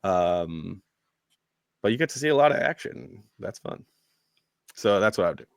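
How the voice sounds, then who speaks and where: A man with a fairly low voice talks casually as a sports podcast co-host. He is laid-back and works through his opinions out loud, in a very dry recording. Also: lively intonation, moderate pace, vocal fry, no echo.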